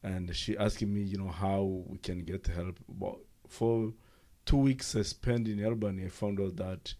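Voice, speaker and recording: A middle-aged man from Rwanda with a Rwandan accent, a non-native English speaker, telling his own story haltingly in an interview. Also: low voice, steady tone, mellow. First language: not English. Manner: halting, pauses often. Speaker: interviewee, former refugee. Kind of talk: personal account in an interview.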